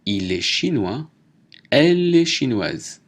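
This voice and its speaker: A man teaching a French lesson, reading out names, nationalities and exercises slowly and deliberately. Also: medium pitch, big pitch swings. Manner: slow, deliberate dictation. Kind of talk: slow lesson narration.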